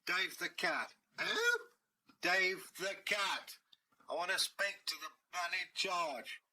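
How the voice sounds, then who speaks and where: A man's voice: high voice, takes it slowly, pushed and bright, pitched above the performer's own voice, exaggerated voice. A man voicing a streetwise, confident male cat, talking in a laid-back, cheeky way.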